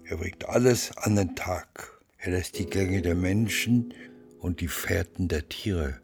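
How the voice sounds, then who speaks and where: An actor playing a stubborn, grieving old mountain man, gruff and proud, in a radio drama. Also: music in the background. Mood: gruff, defiant. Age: older. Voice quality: deep, slow voice.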